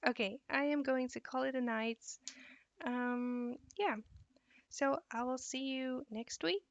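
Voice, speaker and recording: Tired Dutch woman, gravelly voice. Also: high-pitched, takes it slowly, dry recording. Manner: relaxed, reads and answers chat questions while drawing. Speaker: art teacher streaming live. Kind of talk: live drawing stream with chat. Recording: dull, dry sound.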